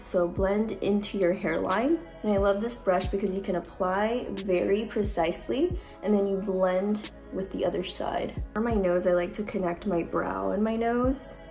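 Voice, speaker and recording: A young woman filming a casual makeup tutorial at home on her phone, chatty with lots of likes and ums, her voice a little deeper from a cold. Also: creaky, a little mellow. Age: young adult. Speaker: beauty vlogger. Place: bright room at home. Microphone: phone camera at close range.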